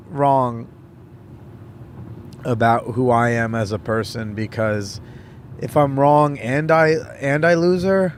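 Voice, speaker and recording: A man hosting a podcast, talking casually in a rambling, halting way with many fillers. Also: medium pitch, gravelly, slight background noise. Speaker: podcast host; comedian. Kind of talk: casual solo monologue.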